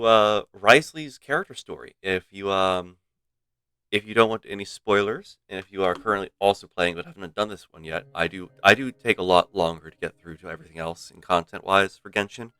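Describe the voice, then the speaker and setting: A game streamer, a man with a low but bright, forward voice. He rambles playfully in casual livestream chat, close and dry on the mic. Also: expressive, brisk, smooth, no room sound. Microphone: close streaming microphone. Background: faint game music.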